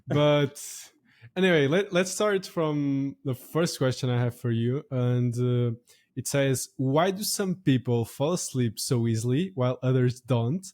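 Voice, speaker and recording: A man hosting a podcast, talking in a relaxed way with many fillers and frequent laughs. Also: medium pitch, a little slow. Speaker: podcast host. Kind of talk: casual podcast chat.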